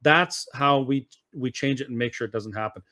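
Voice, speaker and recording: Mid-pitched man explaining earnestly. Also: normal speed, bright, forceful tone. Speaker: interview guest, political activist. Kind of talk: casual explanatory talk.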